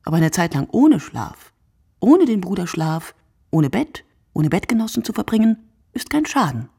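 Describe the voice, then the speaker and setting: Reflective poetic radio play narrator, bit low and husky, declaims slowly and deliberately. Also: female voice, big pitch swings, warm tone, heavily acted. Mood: emphatic, musing. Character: reflective, poetic female narrator musing on sleep and night.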